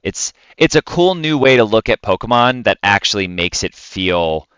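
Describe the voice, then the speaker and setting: A man's voice: lowish voice, expressive, normal speed, a bit bright, smooth, dry recording, muffled recording. A man talking casually and enthusiastically, in an explanatory way, as a podcast co-host on video games.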